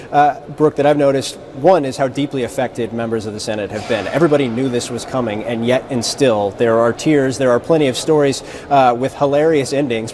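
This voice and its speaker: A man's voice: mid-range voice, a little mellow, slight background noise. A man with an American accent giving a live television news report as a correspondent.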